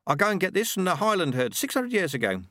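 A man voicing Ronnie, a boastful, touchy former horseman turned milkman, in a storybook reading with a lively, much higher voice. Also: fast talker, no room sound, studio quality, full character voice. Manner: chatty, boastful, sour at times.

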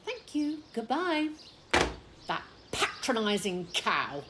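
A woman's voice: on the high side, wildly swooping pitch, slow, heavy background noise, heavily acted. Woman acting a huffy village wife, swooping delivery. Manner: huffy, put-upon, emphatic. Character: indignant, fussy village wife fuming at a rival. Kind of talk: audio play acting.

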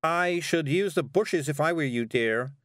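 A man's voice: mid-range voice, sing-song, unhurried, no room sound, studio quality, voice pushed up. A man voicing a fussy, absent-minded woman witch in a storybook, with an apologetic, dithering, genteel manner. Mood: coaxing, fussy.

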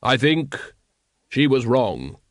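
Man voicing grave, measured skeletal Death. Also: mid-range voice, sing-song, no room sound, studio quality. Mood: grave, calm. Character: Death, stately skeletal male reaper, calm and matter-of-fact. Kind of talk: audiobook character acting.

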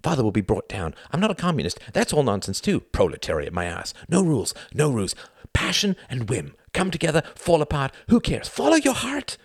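A man voicing a manic, rebellious fifteen-year-old boy in an audiobook, with an excited, urgent, hissing delivery. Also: on the low side, quick pace, few pauses, dry recording, studio quality, pitched above the performer's own voice. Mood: defiant, urgent.

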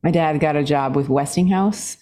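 A woman born in Singapore, a guest musician telling stories in a podcast interview, animated and laughing often, her pitch jumping up as she talks. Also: moderate pace.

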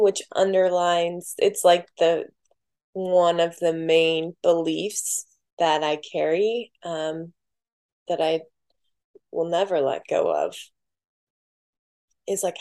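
A woman guest in a casual podcast conversation, talking softly and reflectively with many filler words. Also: on the low side, slow pace, long pauses. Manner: soft-spoken, many "like" fillers.

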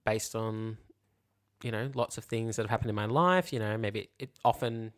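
A man's voice: lowish voice, moderate pace. A man co-hosting a casual, reflective chat, explaining things in a hedging way full of filler phrases. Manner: hedging, explanatory, frequent 'you know' and 'like'. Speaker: podcast co-host.

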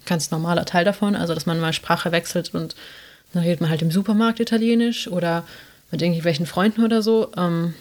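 A woman's voice: on the low side, steady tone, brisk, darkish tone. A young woman from South Tyrol with a South Tyrolean German accent, chatting casually on a podcast, rambling with many fillers. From South Tyrol, Italy. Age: young adult. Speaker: podcast co-host. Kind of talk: casual chat.

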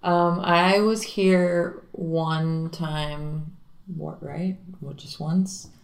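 A woman's voice: low-pitched, fairly even intonation, extremely slow, gravelly. A young woman from Miami, a guitarist and singer, chatting casually in an interview with many fillers. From Miami, Florida.

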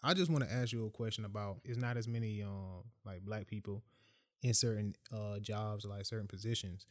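A man's voice: lowish voice, moderate pace. A man hosting a podcast, talking in a loose, casual way full of "uh," "like" and "man.". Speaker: podcast host.

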